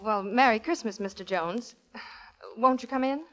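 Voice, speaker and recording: A woman plays a quick-witted American columnist who flusters easily. Her voice is fairly high, with the snappy timing of 1940s radio comedy acting. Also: close to an everyday voice. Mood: flustered.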